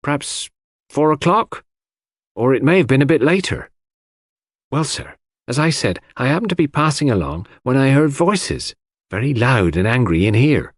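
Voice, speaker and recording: An old, grey-haired housemaid in a mystery audiobook, acted out by a man. She speaks in a raised pitch with lively swings, loyal and deferential, ready to turn indignant. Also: moderate pace, no echo, studio quality, close to an everyday voice. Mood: deferential, confiding. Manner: deferential, old-fashioned servant's speech.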